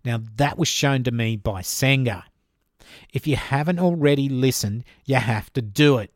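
A man's voice: medium pitch, moderate pace, no room sound, studio quality. Chatty Australian man hosting a podcast. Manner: chatty and informal, addresses listeners by name. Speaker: podcast host. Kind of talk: solo podcast reading listener questions.

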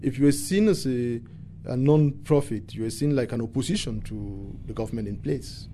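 A man with a Cameroonian accent speaks in an interview in a warm, dark voice at a middle pitch. He is earnest and halting, repeating words for emphasis. Some light noise sits behind him. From English-speaking Cameroon. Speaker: environmental activist and interviewee. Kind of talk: conversational interview answers.

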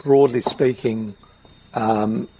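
A man's voice: on the low side, steady tone, takes it slowly, dark tone, slight background noise, poor audio. A middle-aged Australian man interviewing in a conversational, reflective way, circling around his questions.

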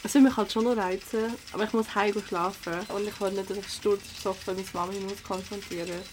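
A woman's voice: mid-range voice, fairly even intonation, fairly quick, a little mellow, slight background noise, lightly acted. Slangy teenage girl played by a young woman. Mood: hesitant, thoughtful. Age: young adult. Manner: casual youth slang. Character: cautious but adventurous teenage girl, easily impressed. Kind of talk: amateur radio drama dialogue.

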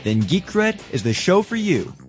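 A man's voice: sits high, sing-song, normal speed. A man reading a scripted podcast promo with punchy announcer delivery. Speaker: podcast host. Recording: guitar music bed behind voice. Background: guitar music.

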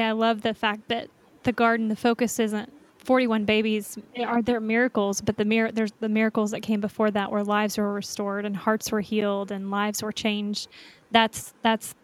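Woman host w/ faded southern accent, pretty monotone, upbeat and encouraging. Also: medium pitch. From North Florida. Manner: warm, enthusiastic, encouraging. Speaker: podcast host. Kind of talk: casual interview.

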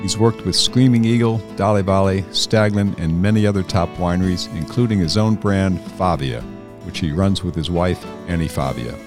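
A man's voice: deep voice, normal speed, music in the background. A man from the Chicago area hosting a wine podcast, friendly and joking as he chats with a guest. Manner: friendly, upbeat, jokey. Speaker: podcast host and vintner. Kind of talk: casual interview chat.

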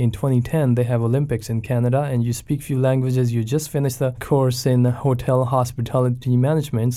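A man in his thirties from Pakistan, a pastor telling his story in a relaxed way, with many fillers and restarts. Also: mid-range voice. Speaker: pastor, guest. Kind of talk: casual conversational interview.

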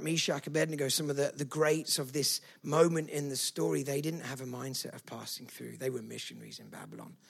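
Gravelly, husky male preacher. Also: medium pitch. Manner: emphatic, repeats "right?". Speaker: pastor. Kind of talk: sermon.

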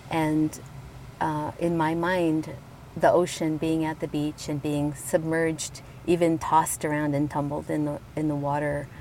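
A woman in her fifties from Colombia, talking about her life as a guest, reflective and warm, with frequent ums and soft laughs. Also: low-pitched, vocal fry, slight background noise, rough recording. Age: 50s. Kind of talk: casual conversation. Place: outdoors at a beach.

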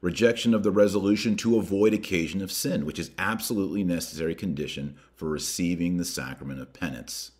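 A man hosting a podcast, reading a document aloud with emphatic, deliberate delivery and adding his own commentary. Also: low voice, a little mellow. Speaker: podcast host.

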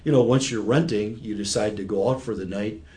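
A man's voice: on the low side, a little fast, a little mellow. A man in his sixties giving patient, conversational explanations with everyday examples, as an expert guest in an interview. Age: 60s.

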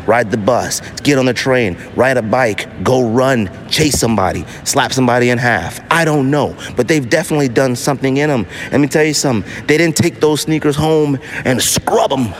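A man's voice: mid-range voice, a little hiss or hum. A man hosting a casual solo podcast, talking emphatically and repeating phrases to drive them home. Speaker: podcast host.

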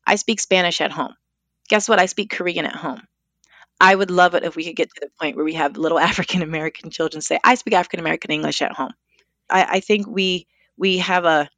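A woman who is a speech language pathologist, talking thoughtfully and with lively emphasis in a podcast discussion. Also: fairly low pitch, no echo.

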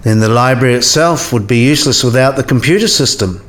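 Actor as a dry, precise, sceptical professor. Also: male voice, mid-range voice, fast, a bit bright, close to an everyday voice. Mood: didactic, measured. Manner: precise, didactic, dryly teasing. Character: learned, dry, gently sceptical male professor. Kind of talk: scripted radio play dialogue.

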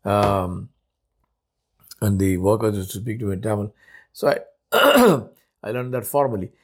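Older Indian man, low steady voice. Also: breathy edge. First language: Urdu. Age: 70s. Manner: unhurried, thoughtful, with frequent "uh" pauses and restarts. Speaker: podcast host. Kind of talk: reflective solo podcast monologue.

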